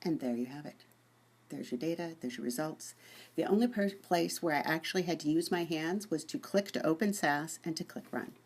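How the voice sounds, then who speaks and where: A woman presents a software demonstration in an informal way. Her voice sits a little low and has a distinctly husky, unpolished grain. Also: fairly quick. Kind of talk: dictating voice commands to software.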